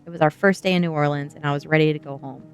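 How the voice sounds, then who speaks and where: Soft-spoken, low-voiced woman reading ASMR. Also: fairly even intonation, racing. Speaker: streamer reading a book aloud. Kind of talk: ASMR book reading with casual asides to viewers. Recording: quiet music behind the voice. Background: faint background music.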